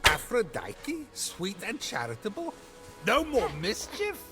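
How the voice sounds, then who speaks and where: A boastful god-king in a high voice that swoops widely. He narrates a comic video game with petulant, defensive bluster and flippant quips, music playing behind him. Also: huge pitch swings, takes it slowly, bright, forceful tone, full character voice. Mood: incredulous, smug.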